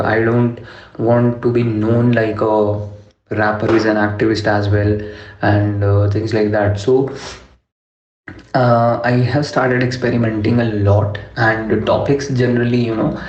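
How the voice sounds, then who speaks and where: A young man from Delhi, a rapper, answers interview questions in a low, steady voice with a flat melody. He pauses a lot, filling the gaps with "uh" and "you know". The sound is dull and lo-fi, with a roomy echo. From Delhi, India. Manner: measured, many fillers like "you know" and "uh". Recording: echoey room, dull lo-fi sound.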